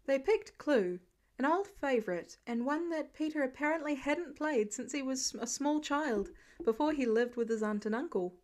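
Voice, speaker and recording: A woman with a high voice reads prose fiction aloud. Her delivery is expressive, scripted storytelling in the manner of an audiobook. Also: moderate pace. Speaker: audiobook-style fan fiction reader.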